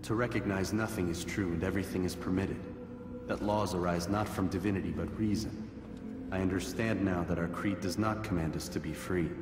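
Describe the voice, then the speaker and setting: An actor playing a solemn, earnest young assassin who speaks in a measured, formal way in a video game cutscene. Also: male voice, low-pitched, steady tone, echoey room, in a large hall, over music, lightly acted. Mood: earnest, resolute.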